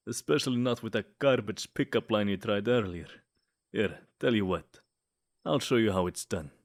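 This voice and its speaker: Lovesick male vampire, played by a man for comedic ASMR roleplay: jealous, snide, theatrically flirtatious. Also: on the low side.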